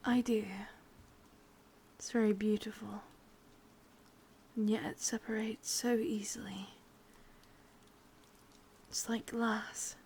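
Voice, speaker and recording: A woman with an English accent voicing a possessive, sadistic yandere witch in breathy, close-up whispered ASMR roleplay. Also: mid-range voice, a little mellow. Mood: wounded, tender. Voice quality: breathy, husky. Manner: breathy whispering, slow intimate delivery. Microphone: close microphone.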